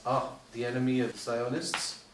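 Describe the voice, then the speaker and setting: A man's voice: medium pitch, normal speed, lightly acted. A man playing a cool, sly undercover pilot in a screenplay read, speaking in a clipped, insinuating, matter-of-fact way. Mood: guarded, probing. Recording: echoey room, distant mic, faint noise.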